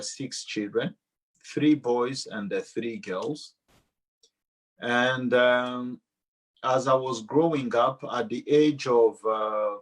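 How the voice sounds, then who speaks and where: Slow, measured Rwandan man giving testimony. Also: medium pitch. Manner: deliberate, halting with long pauses. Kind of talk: personal testimony to a church audience.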